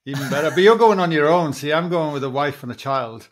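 A man's voice: on the high side, fast. A man in his forties with a Welsh accent, hosting a podcast in friendly, rambling chat over a video call from a small room, speaking through earphones. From Wales. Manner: friendly, rambling, says "mate" often. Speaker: podcast host. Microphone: earphone headset on a video call.

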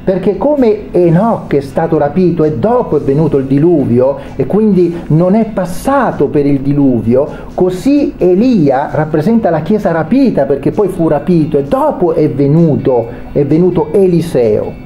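A man preaching a sermon, reading a Bible passage aloud and then explaining it with pauses and restarts. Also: fairly high pitch, expressive, highs cut off. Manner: reads scripture then explains it, hesitant pauses.

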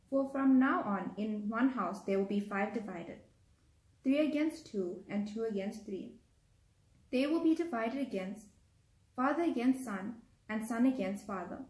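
A woman's voice: a little high, darkish tone. A young woman gives a formal Bible reading aloud in a living room. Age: young adult. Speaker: scripture reader. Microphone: camera across a table. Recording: echoey home room.

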